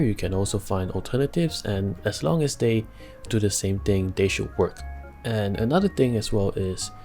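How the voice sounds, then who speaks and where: A young man giving a casual music production tutorial from a home studio, chatty and relaxed, laughing between steps and using plenty of fillers. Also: lowish voice. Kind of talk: casual screen-recorded tutorial.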